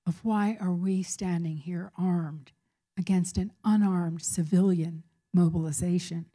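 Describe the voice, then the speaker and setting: An older woman speaking as a professor at a public talk, answering a question in deliberate, measured, emphatic phrases. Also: on the low side, warm tone. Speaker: academic speaker, professor of peace studies.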